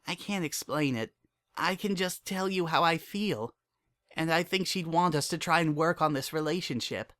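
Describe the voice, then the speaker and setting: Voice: mid-range voice, normal speed, fairly bright tone, pitched above the performer's own voice, lightly acted. A narrator voicing a young pony mare, a hurt but hopeful daughter, emotional and pleading, in a dramatic story reading. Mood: earnest, hopeful.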